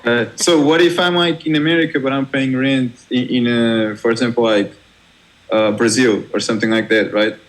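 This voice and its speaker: A man's voice: medium pitch, steady tone, low-quality recording. A young Portuguese man with a Portuguese accent, a DJ guest talking casually in an interview, restarting his sentences and laughing easily. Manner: halting, many restarts and fillers, laughs easily. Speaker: guest DJ and music producer.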